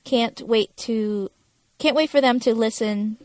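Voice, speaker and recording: A woman's voice: on the high side, takes it slowly, a little mellow, no room sound. A woman co-hosting a podcast, chatting in a casual conversational style. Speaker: podcast co-host.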